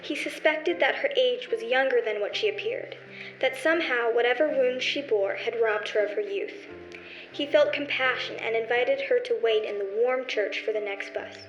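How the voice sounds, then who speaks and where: A woman's voice: fairly high pitch, moderate pace. A woman narrating a scripted story in the manner of an old-time radio show. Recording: roomy, dull recording with faint music.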